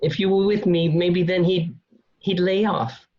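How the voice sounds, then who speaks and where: A man's voice: sits high, fairly even intonation, unhurried. A man playing a frightened bar owner pleading for help in an amateur radio play. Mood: anxious pleading. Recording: muffled home internet recording.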